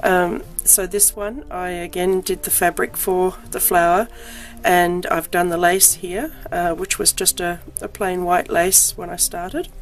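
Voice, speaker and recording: Croaky-voiced woman chatting about crafts. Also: on the low side, fairly even intonation, measured pace, hardly any pauses. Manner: chatty, frequent ums, self-deprecating. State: croaky voice from illness. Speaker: crafting vlogger. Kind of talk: casual show-and-tell.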